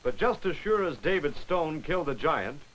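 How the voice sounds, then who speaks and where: Guy narrating an old 1940s training film, kinda high voice, wry and instructive. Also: expressive, normal speed, a bit bright, a little hiss or hum, rough recording. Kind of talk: scripted narration.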